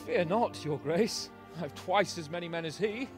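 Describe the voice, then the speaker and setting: A commanding medieval lord. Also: male voice, high-pitched, lively intonation. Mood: confident. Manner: brisk, commanding, calls out loudly. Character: commanding medieval nobleman, confident, brisk. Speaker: nobleman lord leading armed men. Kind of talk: video game cutscene dialogue. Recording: game soundtrack music behind.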